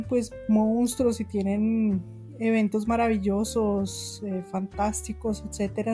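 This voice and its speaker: A woman's voice: on the high side, mellow. A Colombian woman in her forties with a Bogotá accent, a guest writer talking casually in an interview, chatty with frequent laughs and fillers. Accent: Bogotá Colombian Spanish. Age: 40s.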